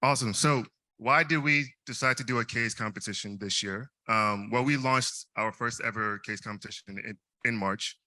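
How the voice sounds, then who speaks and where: Bright, halting young man emceeing. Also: lowish voice, dry recording, rough recording. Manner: hesitant, frequent repeated words. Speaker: student event host. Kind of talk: live event emceeing.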